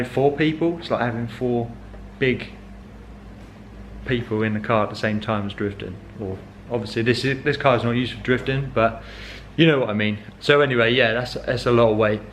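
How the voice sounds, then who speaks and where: A man's voice: mid-range voice, a bit bright, a little hiss or hum. A young man chatting casually in a car vlog, rambling with many ums and correcting himself as he goes. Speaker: car vlogger.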